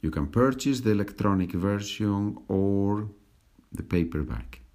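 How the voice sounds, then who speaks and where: A man's voice: fairly low pitch, lively intonation, slow, a little mellow. A man hosting a language-learning podcast, patiently repeating and translating each sentence. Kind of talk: slow bilingual teaching podcast.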